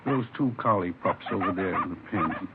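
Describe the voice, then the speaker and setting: An actor playing a worried, ailing grandfather in his late sixties, plaintive and halting, in vintage radio drama style. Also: low voice, lively intonation. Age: 60s. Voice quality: creaky, gravelly. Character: worried, ailing 68-year-old grandfather of missing boy. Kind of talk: 1950s radio drama acting. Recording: tinny, hissy old radio recording.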